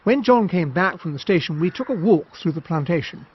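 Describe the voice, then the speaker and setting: Casting: observant British officer-narrator, lightish voice, very varied intonation, genteel period radio mystery. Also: male voice, high voice, brisk, dull audio, slight background noise. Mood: reflective. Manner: genteel, expressive. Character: courteous, observant army officer narrating a country-house mystery.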